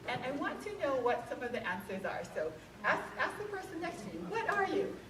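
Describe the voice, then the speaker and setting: A woman's voice: high-pitched, very animated, fairly quick, reverberant, far from the mic, background noise. A woman from Hawaii, an author giving a conversational museum talk, full of ums and questions to the audience. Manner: conversational, frequent um fillers, asks audience questions. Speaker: author and speaker. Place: museum event room.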